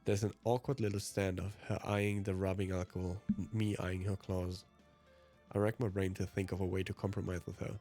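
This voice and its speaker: A man's voice: deep voice, little pitch movement, a little fast, mellow. A man, a let's player reading visual novel narration aloud. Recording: music behind the voice.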